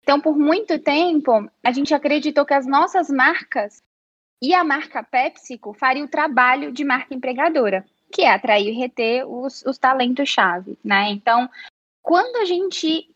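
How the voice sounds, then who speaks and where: Mineiro woman guest, higher voice, friendly and a bit nervous, on a dull, dry home mic. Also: lively intonation, moderate pace, a bit bright, no room sound. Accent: Mineiro Brazilian Portuguese. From Minas Gerais, Brazil. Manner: friendly, slightly nervous, many fillers. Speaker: podcast guest. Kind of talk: casual interview. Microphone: home office setup.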